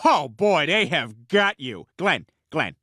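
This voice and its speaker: A man voicing a loud, blustering, dim-witted middle-aged family man in an animated comedy. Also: fairly high pitch, slow, dry recording, clean studio sound, full character voice. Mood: smug, blunt. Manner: blustering, blunt.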